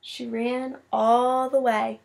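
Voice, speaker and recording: Lady teacher doing a kids read-aloud, kinda high voice, chatty little asides. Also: little pitch movement, slow pace, gravelly. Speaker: teacher reading a picture book to a class. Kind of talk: expressive children's read-aloud with casual asides.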